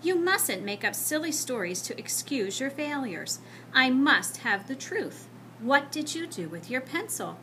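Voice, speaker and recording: Woman doing a strict but caring lady teacher in a storybook, scolding, lively pitch, slight noise. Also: a little slow, full character voice, poor audio. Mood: stern, demanding. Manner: firm, admonishing. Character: stern but concerned female schoolteacher.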